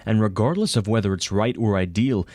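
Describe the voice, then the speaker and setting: A young man narrating sports news packages and reading a sportscast in a steady scripted delivery. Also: lowish voice, brisk. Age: young adult. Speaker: student sports reporter.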